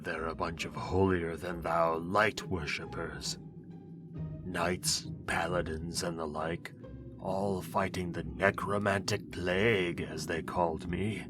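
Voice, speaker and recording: A man's voice: deep voice, fairly even intonation, slow. A man voicing an ancient, pompous necromancer in an audio roleplay, speaking in a theatrical, wheedling way. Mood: scheming, persuasive. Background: music.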